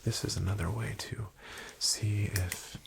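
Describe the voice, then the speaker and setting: Male ASMR host, whispered doctor roleplay, low gravelly voice, dry wry delivery. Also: heavy background noise. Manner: slow, hushed and wryly deadpan, with soft fillers.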